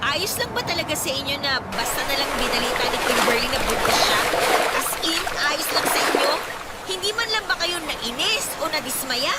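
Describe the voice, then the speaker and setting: A woman's voice: sits high, lively intonation, normal speed, very noisy. A woman playing a frustrated, dutiful adult daughter in a radio drama, pleading and indignant yet respectful. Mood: hurt, frustrated. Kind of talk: Tagalog radio drama acting. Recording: dramatic music playing behind the voice.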